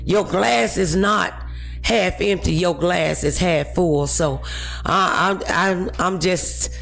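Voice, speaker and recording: A woman's voice: fairly low pitch, takes it slowly, creaky, fairly bright tone, soft background music. A woman hosting a talk show, preaching encouragement to her audience in an emphatic, exhorting manner with scripture.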